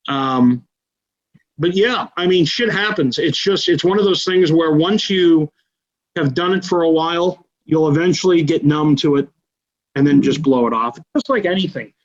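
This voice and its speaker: A man's voice: fairly high pitch, moderate pace, lo-fi sound. A man in his thirties chatting casually as a podcast guest, blunt and profane in an offhand way. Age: 30s.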